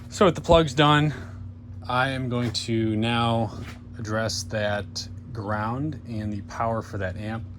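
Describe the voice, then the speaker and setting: A man's voice: on the low side, a bit bright, a little hiss or hum. A man talking informally and thinking aloud in a casual car repair vlog, narrating his own work. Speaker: DIY car repair vlogger.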